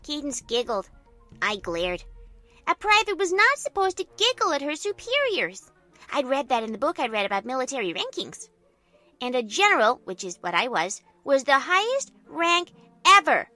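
Very high, bossy little girl general. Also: sing-song, moderate pace, sharp tone, no echo, full character voice. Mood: earnest, boastful. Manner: earnest, pompous, childlike narration with barked orders. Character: bossy self-important little girl playing army general. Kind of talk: first-person story narration. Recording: music behind the voice.

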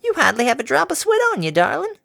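A man's voice: extremely high pitch, wildly swooping pitch, fast talker, creaky, fairly bright tone, dry recording, studio quality, pitched above the performer's own voice, heavily acted. A man voicing an elderly farm mare with a sing-songy, giggly old-lady drawl. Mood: chirpy. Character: chirpy elderly farm mare, sweet and doting.

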